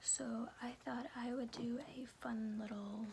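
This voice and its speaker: A woman speaking in a soft, breathy whisper in an informal, unhurried ASMR style, as host of a read-aloud series. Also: a little high, steady tone, slight background noise. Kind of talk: whispered ASMR, casual rambling chat and storybook reading.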